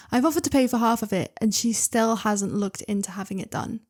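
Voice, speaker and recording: A woman's voice: fairly high pitch, moderate pace. Chatty woman reading stories aloud. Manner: chatty, excitable, interrupts herself with asides. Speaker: reader and channel host.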